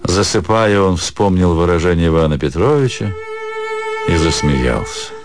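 A man's voice: low voice, expressive, normal speed, music in the background. A man narrating a classic literary story as an actor in a radio production.

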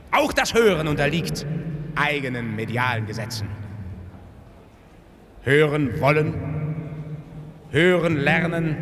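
A man playing a messianic pop-star demagogue in a science-fiction radio play, declaiming pompously with theatrical pauses. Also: a little high, a bit bright, full character voice. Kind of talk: 1970s-90s science-fiction radio play acting, rally oratory. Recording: long hall reverb, noisy background.

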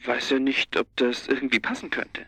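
An actor playing an informal police colleague in a radio crime drama, reporting piece by piece in a matter-of-fact way. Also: male voice, medium pitch, steady tone, moderate pace, vocal fry, sharp tone, breathy edge, no room sound, exaggerated voice. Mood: dry.

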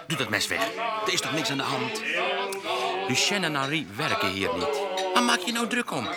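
A man's voice: medium pitch, sing-song, normal speed, loud music behind the voice, natural voice. A male actor playing a naive, easygoing young grape picker in a Dutch radio drama, pleading and placating. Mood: worried, pleading. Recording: loud crowd noise behind.

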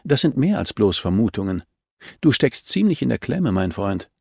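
Man reading as a cool policewoman grilling a suspect, crime audiobook, calm but pushy, slight creak. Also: fairly low pitch, normal speed, no echo, clean studio sound, full character voice. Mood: smug. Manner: calm, insistent, accusatory.

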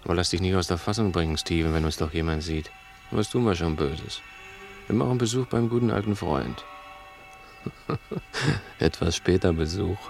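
An actor playing a bossy, mocking burglar leading a murder plot in a 1960s radio play. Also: male voice, deep voice, normal speed, gravelly, long pauses, darkish tone. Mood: sly, assured. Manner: patronizing, needling, commanding. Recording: 1960s radio drama recording with music.

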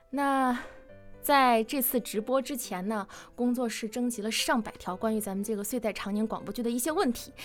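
A woman hosting a live interview stream in a chatty, openly excited and nervous manner. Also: fairly high pitch. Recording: music playing behind the voice. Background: music.

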